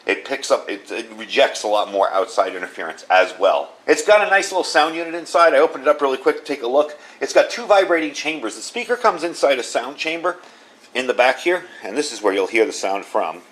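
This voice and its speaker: A man's voice: on the low side, a bit bright, low-quality recording. Middle-aged man casually demonstrating gear. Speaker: video host reviewing radio gear. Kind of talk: casual product demo commentary.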